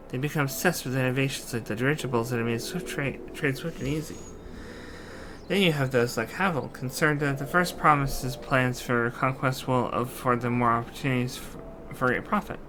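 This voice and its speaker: A man voicing a thoughtful villager in a fantasy video game, explaining things in a measured way. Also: mid-range voice, noisy background, natural voice. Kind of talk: amateur character voicing of game dialogue.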